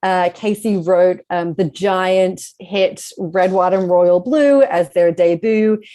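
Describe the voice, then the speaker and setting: A woman's voice: lowish voice, slow pace, warm tone. A woman speaking as a guest author in a casual interview, explaining things in a reflective way.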